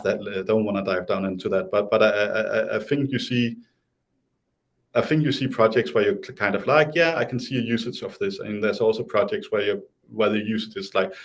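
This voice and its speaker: Low-voiced man with a Danish accent. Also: fairly quick. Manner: hesitant, many restarts and fillers. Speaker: guest. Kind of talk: casual interview chat.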